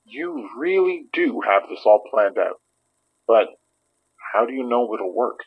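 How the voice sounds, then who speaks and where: An actor playing a wary, skeptical investigator in an audio drama, with a husky voice and a guarded, questioning manner. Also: male voice, medium pitch, lively intonation, measured pace, narrow, tinny audio. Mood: wary, doubtful.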